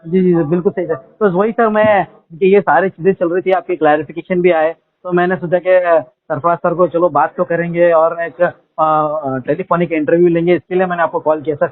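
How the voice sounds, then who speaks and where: A man's voice: a little high, steady tone, normal speed, few pauses. A man, interviewing politely and deferentially as a news reporter over the telephone. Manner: polite, deferential, often murmurs agreement. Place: telephone call. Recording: tinny, narrow-band phone call.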